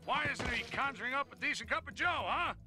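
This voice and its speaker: An actor playing an excitable, cynical male news reporter with brash, ranting patter, in a game cutscene. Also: very high-pitched, brisk, over music. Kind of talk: video game cutscene acting.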